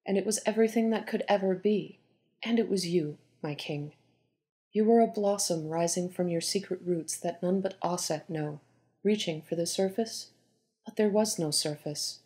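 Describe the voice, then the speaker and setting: A woman playing a poised, pragmatic Egyptian goddess queen and oracle in an audio drama, with measured, formal delivery. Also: on the low side, dark tone, natural voice. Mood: solemn, mystical. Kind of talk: dramatised audio story reading.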